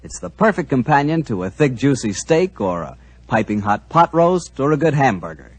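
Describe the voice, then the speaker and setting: A man's voice: mid-range voice, big pitch swings, moderate pace, husky, no echo. A man announcing and reading a sponsor ad on a 1940s radio show, genial and salesmanlike.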